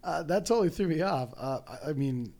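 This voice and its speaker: A man's voice: on the high side, animated, fast, a little mellow. A young man co-hosting a casual podcast, hesitant and often second-guessing himself.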